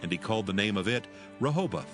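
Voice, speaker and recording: A man narrating scripture from a script in a formal, measured manner. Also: lowish voice, lively intonation. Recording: music behind. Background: music.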